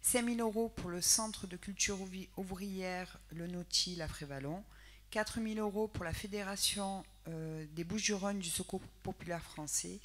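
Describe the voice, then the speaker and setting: A woman council member reading a report's figures aloud, slow and a little hesitant. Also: medium pitch. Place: municipal council chamber.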